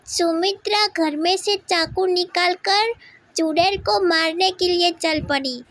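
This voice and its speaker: A woman's voice: very high-pitched, slow, a bit bright, no room sound, clean studio sound. A woman narrating a scripted cartoon story for children as its storyteller.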